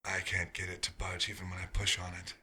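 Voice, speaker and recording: A man voicing a centuries-old vampire doctor, wistful and dryly wry, in a soft-spoken ASMR roleplay with a husky, breathy voice and halting, musing pauses. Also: low voice, completely flat delivery, exaggerated voice.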